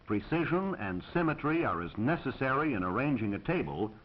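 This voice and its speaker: A man's voice: medium pitch, big pitch swings, fairly quick, lo-fi sound. An American man reading scripted 1940s instructional film narration in a formal, precise, instructive manner on an old film soundtrack.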